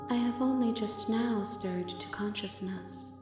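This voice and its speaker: An actress playing an ancient otherworldly female entity in a game, solemn, serene and cryptic, with measured poetic delivery. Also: medium pitch, lightly acted. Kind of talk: dramatic game voice acting. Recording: echoey reverb under music.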